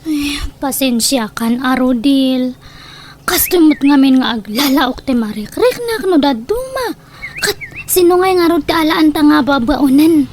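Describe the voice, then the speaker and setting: A woman's voice: sits high, lively intonation, a little slow. A woman with an Ilocano accent playing a jealous, suspicious, nagging wife in a comedic radio drama, whiny and accusatory. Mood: prying. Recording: faint background noise.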